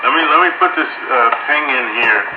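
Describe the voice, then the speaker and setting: A man's voice: medium pitch, a little fast, narrow, tinny audio. Man on a noisy, echoey radio link. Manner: colloquial, folksy speech. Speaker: amateur radio operator. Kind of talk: casual radio chat.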